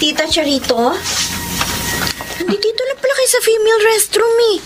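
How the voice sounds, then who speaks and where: High, animated young niece. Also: female voice, normal speed. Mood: excited, curious. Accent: Filipino. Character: excitable, supportive young niece Astrid. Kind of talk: Filipino radio drama acting. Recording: noisy radio drama scene ambience.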